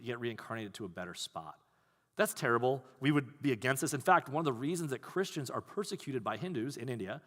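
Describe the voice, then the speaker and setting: A man preaching a sermon as pastor, talking in a conversational, animated way. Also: mid-range voice. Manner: conversational, animated, acts out quoted voices. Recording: long hall reverb.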